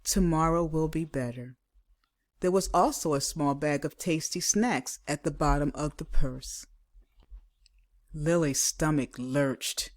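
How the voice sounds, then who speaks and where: A woman's voice: sits low, slow. A woman reading a children's picture book aloud like a teacher, with dramatic, emphatic delivery. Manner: dramatic, emphatic delivery with acted character voices. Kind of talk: expressive children's storybook read-aloud.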